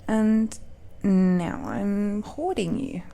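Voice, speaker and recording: Woman acting as slow, tender dragon-blooded girlfriend. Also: medium pitch. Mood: tender, cherishing. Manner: hesitant, halting, with breathy murmurs and soft laughs. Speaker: voice actor in audio roleplay. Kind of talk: intimate romantic audio roleplay.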